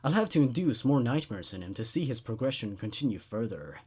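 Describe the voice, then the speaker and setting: Man acting an intrigued, clinical psychiatrist, swinging pitch. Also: very animated, a little fast, a little mellow, slightly rough. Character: calm, probing male psychiatrist with secret obsession.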